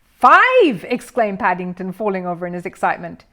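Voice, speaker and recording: A woman's voice: medium pitch, normal speed. A woman narrating a children's storybook as a scripted reading, in the role of storyteller. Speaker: storyteller, audiobook reader.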